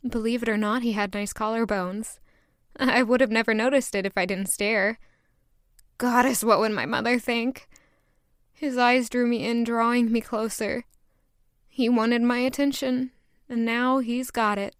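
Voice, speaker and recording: A woman's voice: on the high side, steady tone, a little slow, clean tone, no echo, studio quality, close to an everyday voice. A woman playing a flustered, demure young maid given to romantic daydreams in an audio drama. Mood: smitten, reflective. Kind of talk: audio drama acting with first-person narration.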